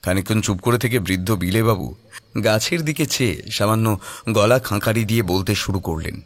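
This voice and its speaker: A man's voice: on the low side, normal speed. A man narrating a scripted horror audio story in a suspenseful, dramatic manner.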